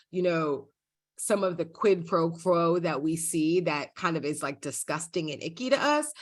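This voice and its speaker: A woman's voice: sits low, a bit bright. A woman state representative answering a panel question on a video call, candid and emphatic in a conversational way.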